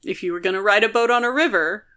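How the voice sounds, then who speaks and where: A woman's voice: medium pitch, quick pace, sharp tone. A woman co-hosting a podcast, explaining things in casual book discussion, conversationally and peppered with "like". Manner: explanatory, conversational, frequent filler "like". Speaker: podcast co-host.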